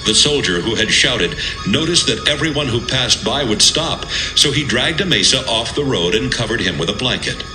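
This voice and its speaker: Male narrator, measured dramatic scripture storytelling, lively intonation, reverberant over a clear music bed. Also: mid-range voice. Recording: echoey, music bed behind.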